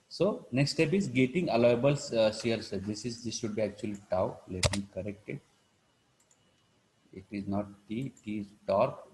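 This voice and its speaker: Indian man lecturing, halting and repetitive. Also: on the low side, darkish tone, low-quality recording. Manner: halting, repetitive, punctuated with check-in words like "right" and "you know". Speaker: engineering lecturer. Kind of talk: live online lecture, explaining calculations.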